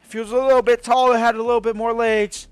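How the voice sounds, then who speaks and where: Excitable high-voiced man commentating a game. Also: fast talker, clear, resonant tone, no room sound. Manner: excitable, bursts into shouts at big moments. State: voice getting hoarse. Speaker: gaming video host. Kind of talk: live video game commentary.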